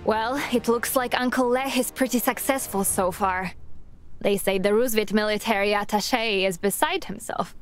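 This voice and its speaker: A woman's voice: mid-range voice, normal speed, natural voice. A woman playing a spirited, stubborn young sharpshooter in a video game, earnest and determined. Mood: insistent. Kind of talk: cinematic video game acting. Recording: music under the voice.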